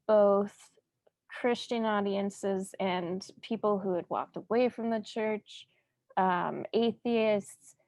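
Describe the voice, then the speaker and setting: A woman of medium pitch gives conversational interview answers at a slow pace. She is measured and pauses between short phrases, and the sound is dry. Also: darkish tone, no room sound. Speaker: filmmaker being interviewed.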